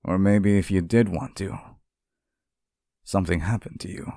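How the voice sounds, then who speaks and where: A man's voice: low voice, moderate pace, creaky, dark tone, no room sound, studio quality. A man playing a sweet, clingy, centuries-old sealed demon in an intimate ASMR roleplay, speaking tenderly and hesitantly to one listener. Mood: anxious, fond.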